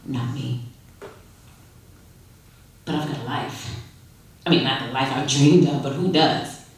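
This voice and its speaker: A woman giving an expressive live reading of a literary story, with dramatic phrasing and deliberate pauses. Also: sits low. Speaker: story reader, actress. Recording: very echoey hall, distant microphone.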